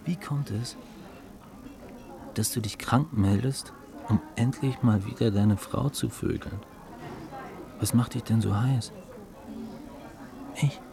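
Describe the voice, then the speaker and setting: An actor playing a cool, cynical male dealmaker in a German radio drama, taunting and deliberate, with a superior, mocking manner. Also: mid-range voice, vocal fry, over music.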